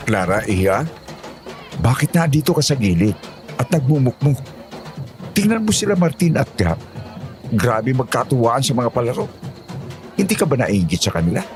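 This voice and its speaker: A man's voice: mid-range voice, sing-song, normal speed, pauses often, faint music behind, close to an everyday voice. A man with a Filipino accent playing an older, fatherly but touchy father-in-law in a horror drama. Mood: fatherly, coaxing.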